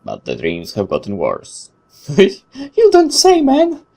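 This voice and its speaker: A man reading horror game text aloud as a video host, theatrical and expressive, with informal reactions. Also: a little high, slow pace, gravelly. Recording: noticeable background noise.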